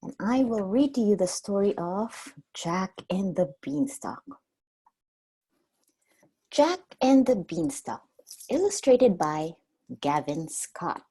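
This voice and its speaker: A woman reading a storybook aloud like a preschool teacher, expressive and simply worded for small children. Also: medium pitch, slow pace, long pauses, slightly rough, poor audio.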